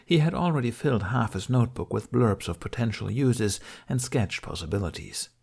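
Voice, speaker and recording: A man's voice: medium pitch, animated, normal speed, vocal fry, darkish tone, husky. A man reading scripted audiobook narration of a story.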